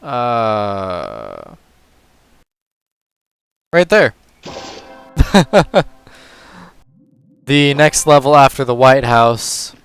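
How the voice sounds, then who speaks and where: A man with a hoarse, tired voice, casually talking through a puzzle game move by move as a gaming channel host. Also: medium pitch, drawn-out words, pauses often, faint music behind. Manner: relaxed, talks through moves step by step. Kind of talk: casual gameplay commentary.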